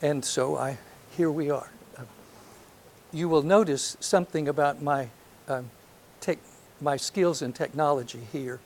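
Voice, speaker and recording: An older man from North Alabama with a soft voice, giving a reflective lecture to an audience, hesitant and full of ums, with gentle self-deprecating humor. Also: fairly high pitch, unhurried, a little hiss or hum. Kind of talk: last lecture, reminiscence. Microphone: handheld or podium microphone.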